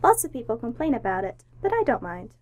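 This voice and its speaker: A woman's voice: a little high, big pitch swings, fast talker. A woman acting in an old-time style radio play as a polite, demure young woman with a prim, agreeable manner. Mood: polite, eager.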